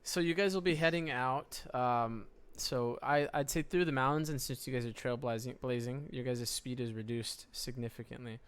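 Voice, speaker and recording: Smooth-voiced man running a game. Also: medium pitch, normal speed, few pauses, fairly bright tone. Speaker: game master. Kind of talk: casual tabletop game narration.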